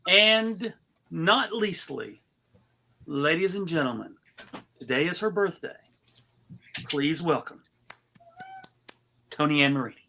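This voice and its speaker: A man hosting a live table read, introducing people in a casual, joking, halting showman's manner. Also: a little high, animated, vocal fry, bright, forceful tone, a little hiss or hum.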